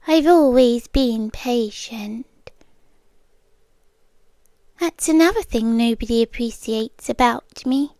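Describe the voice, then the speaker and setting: A British woman playing a menacing, possessive yandere girlfriend in an ASMR roleplay, speaking with deliberate teasing pauses and breathy half-whispers. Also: high voice, no room sound, full character voice. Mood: patient threat. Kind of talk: ASMR roleplay, slow intimate dramatic monologue.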